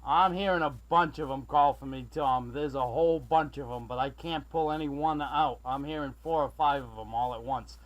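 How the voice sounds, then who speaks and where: A man's voice: mid-range voice, moderate pace, hardly any pauses. A man from South Carolina chatting casually as a CB radio operator, in a friendly manner full of call numbers and radio jargon. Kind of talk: casual CB radio skip chat. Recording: muffled, band-limited CB radio recording.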